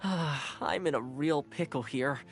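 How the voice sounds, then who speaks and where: A woman's voice: sits low, slow, faint music behind. A woman voicing a cheerful, caring young male housekeeper in a video game, friendly and earnest. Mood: earnest, relaxed. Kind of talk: video game character voice lines.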